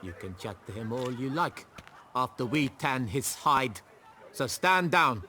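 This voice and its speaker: An actor playing a hot-tempered townsman bully in a video game, menacing and forceful. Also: medium pitch, expressive, unhurried, slight background noise. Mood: threatening. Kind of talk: video game cutscene acting.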